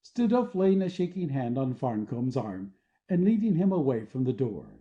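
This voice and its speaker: A man's voice: medium pitch, big pitch swings, normal speed, narrow, tinny audio. A man reading stage directions as scripted narration for an audio play.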